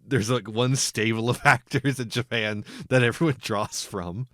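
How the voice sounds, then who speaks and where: A man's voice: mid-range voice, steady tone, moderate pace, fairly bright tone, dry recording, studio quality. A man hosting a casual film podcast, getting over a cold, wry and chuckling between his remarks. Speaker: podcast host.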